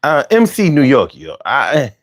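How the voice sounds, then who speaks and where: A man's voice: mid-range voice, huge pitch swings, a little slow. A man in his forties from Philadelphia with a Philly accent, hosting a casual, argumentative monologue full of slang and restarts. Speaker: host.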